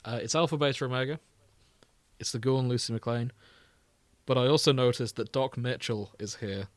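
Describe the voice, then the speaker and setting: A man's voice: mid-range voice, moderate pace, no room sound. A man co-hosting a podcast in casual chat, with a jokey manner. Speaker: podcast co-host.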